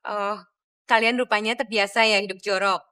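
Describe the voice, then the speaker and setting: An actress playing a fussy, disapproving guest who nags, with an Indonesian accent, in a television drama. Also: female voice, medium pitch, fairly even intonation, moderate pace, sharp tone, clean tone, close to an everyday voice. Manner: nagging, scolding.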